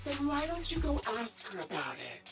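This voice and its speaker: Grim Vulture, a high-swooping gloating villainess. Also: female voice, wildly swooping pitch, recorded from a distance. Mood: sneering, amused. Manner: mocking, theatrical, drawn-out mock politeness. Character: Grim Vulture, gloating sadistic female sniper villain, theatrically taunting. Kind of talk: video game voice acting. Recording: music behind the voice.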